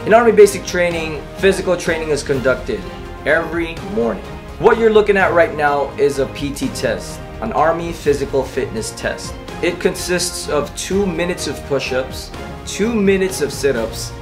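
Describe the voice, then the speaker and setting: Earnest, encouraging male soldier narrator addressing viewers, voice-over laid over prominent music. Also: a little high. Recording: voice-over over loud background music.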